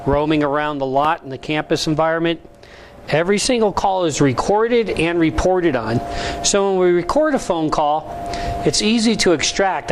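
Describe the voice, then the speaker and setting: Higher-voiced salesman presenting over background noise. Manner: instructional, salesman-like. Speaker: business phone tools sales presenter. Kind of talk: informal promotional explainer. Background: ambient vehicle noise in a motorcycle service department.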